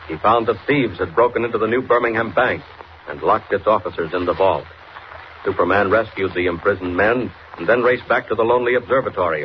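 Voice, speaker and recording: Serial narrator, man, declamatory 1940s delivery in a lowish voice, dull vintage sound. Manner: dramatic, declamatory. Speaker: announcer and narrator of a radio serial. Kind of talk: 1940s radio scripted narration. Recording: muffled, noisy vintage radio recording.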